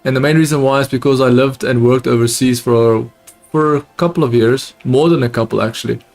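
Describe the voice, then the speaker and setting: A young man, not a native English speaker, with an accent close to British, reacting live to a race on stream in a casual, excitable way that often repeats itself. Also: medium pitch, fairly quick, faint music behind. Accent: close to British, not fully. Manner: excitable, repeats himself, calls viewers dude. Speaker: livestream host watching a race.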